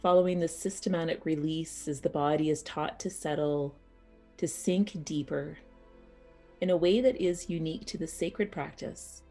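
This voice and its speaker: A woman teaching yoga nidra as a guided meditation, speaking soothingly and unhurriedly in short phrases with pauses. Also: deep voice, steady tone, dark tone, faint music behind.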